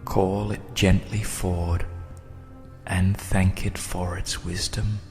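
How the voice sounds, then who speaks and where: A man with a low, even voice narrates a guided meditation over faint music. He speaks very slowly and soothingly, leaving long pauses. His phrases settle into soft, breathy endings.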